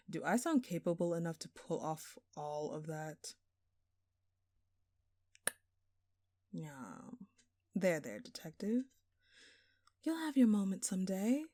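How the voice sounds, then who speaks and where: Woman playing a smug, flirty thief, low mellow voice. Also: dry recording, clean studio sound. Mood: smug, teasing. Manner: teasing, sly, mock-innocent. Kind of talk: audio roleplay acting addressed to the listener.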